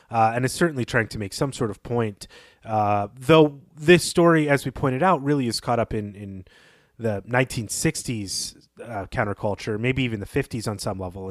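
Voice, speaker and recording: A man's voice: mid-range voice, no room sound, studio quality. A man hosting a podcast, discussing literature thoughtfully, with some fillers as he works out his points. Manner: thoughtful, wanders with fillers, then leads the topic. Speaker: podcast host.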